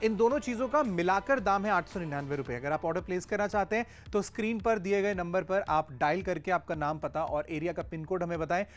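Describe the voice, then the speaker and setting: A man's voice: sits high, brisk, few pauses, faint music behind. A man presenting on a home shopping show, steadily listing product features, price and how to order. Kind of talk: teleshopping sales pitch.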